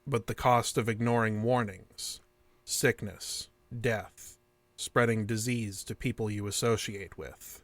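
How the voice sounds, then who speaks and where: An actor in an audio drama playing a coldly logical alien interrogator, formal, deliberate and probing. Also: male voice, fairly low pitch, steady tone, no room sound. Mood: cold, insistent.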